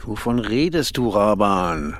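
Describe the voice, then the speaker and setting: A man's voice: lowish voice, sing-song, measured pace. Man playing a taunting, domineering village bully. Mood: menacing, probing. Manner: taunting, domineering, needling questions. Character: menacing, mocking village man named Nissen, crude bully. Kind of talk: audio drama dialogue.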